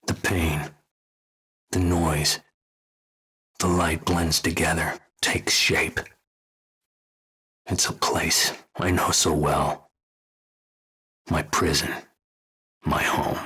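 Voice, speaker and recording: An actor playing a cold, arrogant, vengeful scientist villain in a video game, with a low, breathy, deliberate delivery full of contempt. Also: male voice, flat delivery, pushed and bright, heavily acted. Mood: brooding, ominous. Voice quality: low, breathy, slipping toward whisper.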